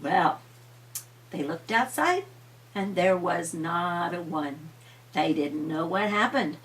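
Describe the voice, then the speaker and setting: Grandma lady doing storytime, unhurried, dramatic, voice goes up and down a lot. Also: fairly low pitch, lively intonation, fairly bright tone, far from the mic. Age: older. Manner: homely, dramatic, repeats refrains with emphasis. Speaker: grandmother telling bedtime stories. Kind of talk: animated storytelling for children.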